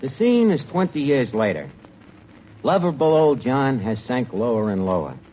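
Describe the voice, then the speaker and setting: A man's voice: mid-range voice, very animated, normal speed. A man hosting and narrating a vintage radio comedy sketch with mock-dramatic delivery. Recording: dull, faintly noisy old radio broadcast.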